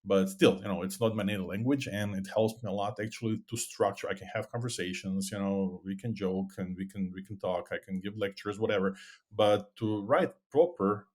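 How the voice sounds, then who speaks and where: A man's voice: on the low side, fairly even intonation, a little fast. A middle-aged man with a Croatian accent, a non-native English speaker explaining his work earnestly as a guest in a podcast interview. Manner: earnest, explanatory, fond of "you know" and "actually". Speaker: guest, AI consultant.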